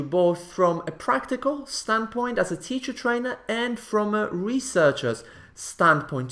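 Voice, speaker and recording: A man in his thirties whose first language is not English, hosting a teaching video from his living room and talking earnestly and reassuringly to the camera. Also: sits high. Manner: earnest, reassuring, gestures with hands. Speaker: host. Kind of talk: scripted video presenting.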